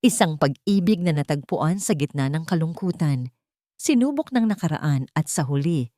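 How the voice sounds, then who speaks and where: A woman reads a scripted story in a low voice. Her delivery is sentimental and measured, with lively, wide-moving intonation. The sound is close and dry. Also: dry recording. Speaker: audiobook narrator.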